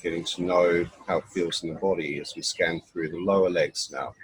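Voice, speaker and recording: A man leading a guided body scan meditation in an unhurried, instructional way, pausing between short phrases. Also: deep voice, fairly even intonation, breathy edge, poor audio.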